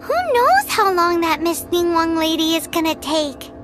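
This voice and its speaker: A woman voicing a tiny, excitable childlike fairy companion in a video game, squeaky, chirpy and blunt. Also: unhurried, exaggerated voice. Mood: cheerful, impatient. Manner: chirpy, blunt, refers to herself in third person. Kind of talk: video game character acting. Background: game music.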